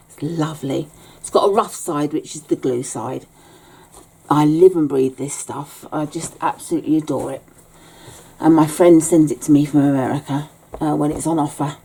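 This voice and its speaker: A woman teaching a relaxed sewing tutorial at home, chatty, with frequent ums and small self-corrections. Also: low voice, slightly rough. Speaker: bag maker teaching a sew-along.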